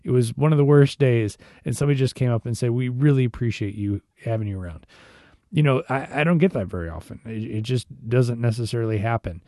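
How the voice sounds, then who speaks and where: A man with a hoarse, mellow, rather dark voice gives a relaxed devotional talk. He speaks conversationally, often restarting his words. The recording is close and dry, with no room sound. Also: medium pitch, fast, dry recording. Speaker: devotional podcast host, church musician.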